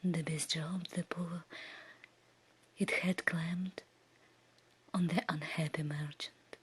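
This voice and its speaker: Whispering woman reading a slow bedtime story. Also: sits low, fairly even intonation. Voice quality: breathy, soft whisper. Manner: slow, hushed, soothing delivery. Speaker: ASMR storyteller. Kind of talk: whispered ASMR bedtime fairy tale reading.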